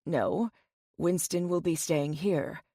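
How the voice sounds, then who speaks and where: A woman's voice: lowish voice, slow pace, dark tone, no room sound, studio quality, voice pushed down. A woman voicing a composed, teasing man of means in an audiobook. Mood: gently teasing.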